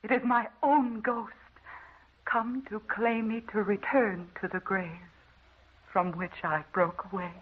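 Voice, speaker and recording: An actress playing a doomed, haunted wife giving a melodramatic farewell monologue in an old-time radio drama. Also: female voice, mid-range voice, fairly even intonation, breathy edge. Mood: anguished farewell. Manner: melodramatic, slow building dread. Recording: tinny, narrow old radio recording.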